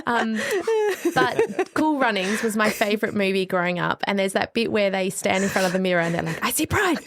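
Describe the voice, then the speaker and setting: A woman in her thirties chats cheerfully and playfully as a podcast guest. Her voice moves in lively swings of pitch. The sound is dry and close. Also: a little fast, dry recording. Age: 30s. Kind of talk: casual chat.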